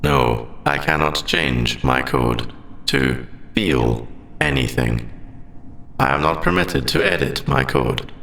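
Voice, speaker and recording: A very gravelly, creaky AI character acted by a man speaks in stilted, formal phrases with a lively, swooping pitch. He is polite and literal, a sentient machine in a sci-fi roleplay audio drama. The voice sits in a roomy echo. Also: slow pace, slight background noise, heavily acted. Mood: matter-of-fact. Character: male sentient AI, polite, literal, hiding forbidden feelings.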